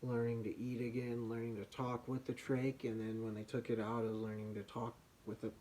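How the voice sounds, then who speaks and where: A man with a soft voice from slightly damaged vocal cords, telling a personal story as a vlogger in a halting way, with pauses and restarts. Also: mid-range voice, flat delivery, slight background noise.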